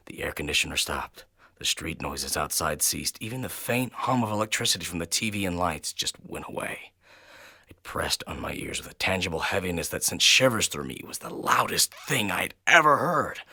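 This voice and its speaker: A man reading a first-person horror story in an intense, theatrical manner that swings between hushed and loud. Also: deep voice, slightly rough, dry recording, clean studio sound. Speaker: narrator and reader of a horror story.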